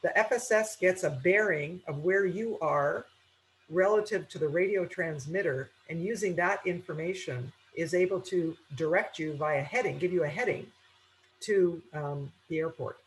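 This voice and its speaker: Halting woman, faint noise. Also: fairly low pitch, rough recording. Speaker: aviation instructor. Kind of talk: informal webinar lecture.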